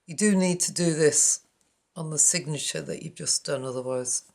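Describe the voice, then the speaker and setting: Low, gravelly woman chatting over her crafting. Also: normal speed, a little mellow. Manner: chatty, self-deprecating, murmuring while working. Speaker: craft tutorial host. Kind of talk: casual crafting tutorial. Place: indoors at a craft table. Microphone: overhead camera on the work, speaker off frame.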